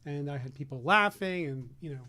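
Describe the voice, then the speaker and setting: A man's voice: fairly high pitch, fairly quick, pushed and bright, dry recording. A man chatting as a guest, enthusiastic and gushing, repeating words for emphasis. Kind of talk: casual chat.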